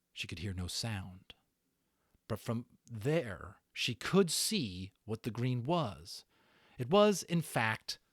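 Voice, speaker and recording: Expressive man reading a story aloud. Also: medium pitch, no echo, studio quality. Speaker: amateur audiobook narrator. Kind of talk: dramatic storybook reading with casual asides.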